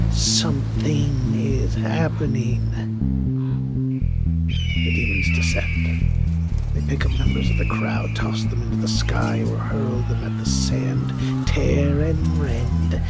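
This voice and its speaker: Male narrator, literary storytelling, dramatic, creaky voice, very animated pitch, loud music bed. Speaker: narrator reading a short story. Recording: prominent music under the voice.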